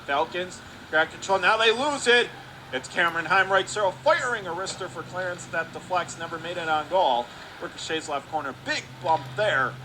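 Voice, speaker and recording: A man calling live radio play-by-play of a hockey game, talking rapidly and rising to excited shouts on close plays. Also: high voice, noisy background.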